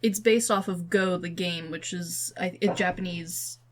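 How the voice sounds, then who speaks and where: A woman's voice: lowish voice, steady tone, a little slow, slight background noise. Chatty, opinionated woman podcaster. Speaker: podcast host. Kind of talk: casual podcast discussion.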